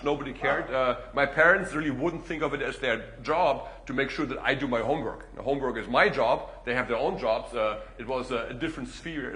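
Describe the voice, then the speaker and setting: A middle-aged man from Germany with a German accent, giving a relaxed public lecture as a guest speaker and filling pauses with "uh" and "you know". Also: medium pitch, roomy sound, a little hiss or hum. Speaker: guest speaker, economics professor.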